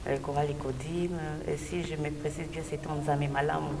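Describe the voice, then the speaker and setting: Low, creaky woman in a noisy echoing hall. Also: flat delivery, brisk. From Belgium. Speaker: gospel singer, interview guest. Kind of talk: personal testimony in an interview. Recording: distant mic, echoey hall, noisy background.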